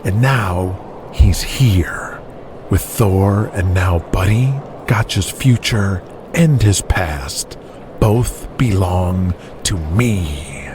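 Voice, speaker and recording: A man playing a vengeful, grandiose wrestling promoter in an audio drama, speaking in a deliberate, menacing manner. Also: low-pitched, noisy background. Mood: gloating.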